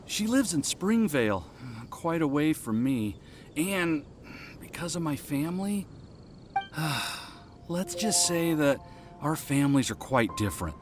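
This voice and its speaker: A man's voice: on the high side, animated, unhurried, music in the background. A man voicing a shy, earnest young man in love in a video game, with a hesitant, stammering delivery. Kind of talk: video game character acting.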